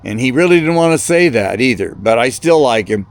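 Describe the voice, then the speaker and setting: A man talking casually about his hobby in a rambling, hesitant way, halting and repeating words as he goes. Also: mid-range voice, expressive. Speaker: hobbyist video presenter.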